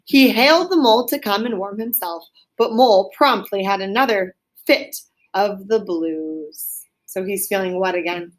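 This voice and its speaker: A woman's voice: medium pitch, moderate pace. A woman reading a storybook aloud as a teacher to children, explaining as she goes and prompting with questions.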